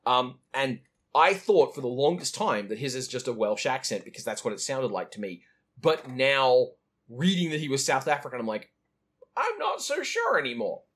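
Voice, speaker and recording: A man co-hosting a casual podcast about an animated film, talking in a chatty, enthusiastic way. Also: medium pitch, moderate pace, slightly rough. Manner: chatty, enthusiastic, acts out quotes. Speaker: podcast co-host.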